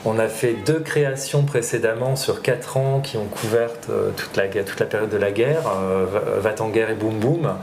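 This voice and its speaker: Frenchman explaining haltingly in an echoey room. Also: lowish voice, a little mellow. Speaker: theatre director and drama teacher being interviewed. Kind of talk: conversational interview answers. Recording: roomy echo, faint background noise.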